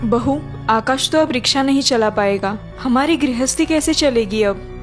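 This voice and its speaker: A woman's voice: sits high, moderate pace, soft background music, close to an everyday voice. A woman voicing a kindly, worried elderly grandmother and mother-in-law in a children's story cartoon, with an earnest, caring delivery. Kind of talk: Hindi moral-story cartoon dubbing.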